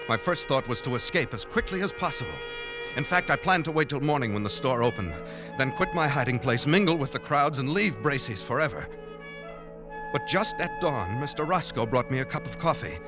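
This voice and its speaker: A man's voice: medium pitch, lightly acted. An actor in a vintage radio drama playing an earnest, troubled man confiding in his diary. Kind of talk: 1940s-50s radio drama acting with diary narration. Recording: old radio broadcast with music behind.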